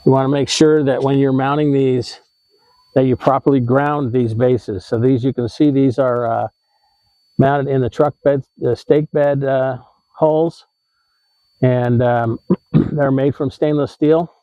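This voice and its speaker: A man hosting a how-to vlog, explaining things in a casual, conversational and slightly halting way. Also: mid-range voice, fairly even intonation, darkish tone, no room sound, poor audio. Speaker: vlog host giving RV tips.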